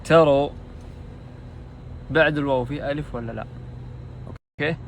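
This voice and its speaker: A man's voice: a little high, moderate pace, slight background noise. A Saudi man casually quizzing his friends on a spelling point, in a playful tone. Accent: Saudi Arabic. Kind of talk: casual chat among friends.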